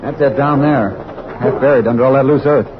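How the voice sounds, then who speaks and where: An actor playing a friendly, folksy American forest ranger in a radio drama. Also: male voice, mid-range voice, brisk, lightly acted. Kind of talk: mid-century American radio drama acting. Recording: noisy, dull old radio recording.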